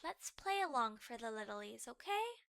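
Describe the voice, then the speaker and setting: A woman voicing a kindly, patient grandmother dog in a storybook, speaking in a gentle, soothing, hushed manner. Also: on the high side, very animated, moderate pace, gravelly, no room sound, clean studio sound, full character voice. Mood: quietly coaxing.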